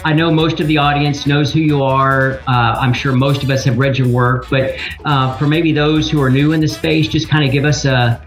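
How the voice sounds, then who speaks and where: A man's voice: mid-range voice, flat delivery, moderate pace, hardly any pauses, faint music behind, low-quality recording. A man hosting a podcast, presenting and talking as an interviewer. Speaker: podcast host. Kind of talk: scripted intro and sponsor read, then casual interview.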